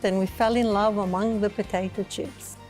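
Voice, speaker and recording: Woman from Egypt, average pitch, company founder, interview narrative, soft background music. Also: fairly even intonation, normal speed, poor audio. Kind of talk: interview telling a company story.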